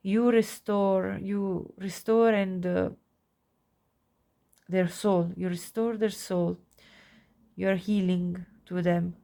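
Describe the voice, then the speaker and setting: A woman with a cold and a nasal voice giving a pick-a-card tarot reading, gushing softly over the cards. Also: mid-range voice, steady tone, takes it slowly, gravelly, pauses often. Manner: soft, gushing, warm-hearted with frequent little laughs. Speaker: tarot reader.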